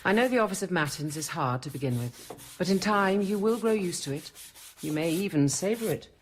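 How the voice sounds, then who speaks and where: An actress playing a patient, devout middle-aged nun and apothecary in a radio drama, speaking in a measured, gently instructive way. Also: female voice, on the low side, expressive, slight background noise, close to an everyday voice. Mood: patient, coaxing.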